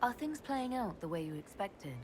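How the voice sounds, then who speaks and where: A woman voices the composed leader of a fantasy game. Her pitch is medium and her manner measured, direct and questioning, with faint noise behind. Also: very animated, close to an everyday voice. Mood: probing. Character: composed, inquisitive female leader and game heroine. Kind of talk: video game dialogue acting.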